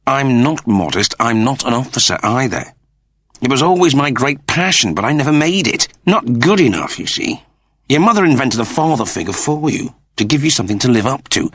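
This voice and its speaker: A man voicing an awkward, self-doubting middle-aged father in an audiobook, halting and earnest. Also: mid-range voice, sing-song, a bit bright, slightly rough, no room sound, clean studio sound, voice pushed up. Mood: confessing, ashamed. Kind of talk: dramatised audiobook dialogue.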